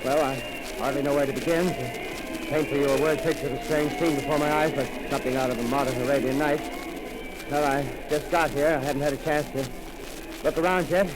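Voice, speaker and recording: An actor playing a radio commentator at a crash site in a 1930s radio drama, describing the scene live in an eager, halting way with many fillers, heard in a noisy old broadcast recording. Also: male voice, mid-range voice, fairly even intonation, natural voice. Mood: eager, breathless. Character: excited male radio field reporter at a crash site.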